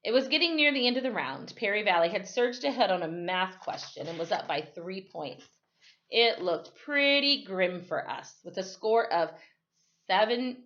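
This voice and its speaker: A woman's voice: fairly low pitch, a bit bright, muffled recording. A woman teacher reading a story aloud expressively, with dramatic emphasis and the odd corrected slip. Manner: reads in the first person with dramatic emphasis, correcting slips.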